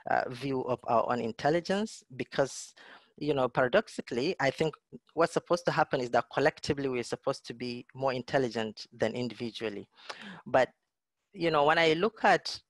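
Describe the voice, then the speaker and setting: A middle-aged man delivers a lecture over a video call from indoors. His voice sits at an ordinary middle pitch and sounds dry and close, with no room echo. He talks in a thoughtful, searching way, often saying "you know" and repeating words. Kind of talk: online lecture with slides. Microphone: video call webcam.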